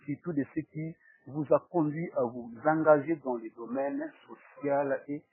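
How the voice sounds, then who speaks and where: A man with a husky voice, hosting a formal interview in halting, deliberate phrases. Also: fairly high pitch, animated. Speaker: talk show host and interviewer. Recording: tinny, phone-like narrow-band sound.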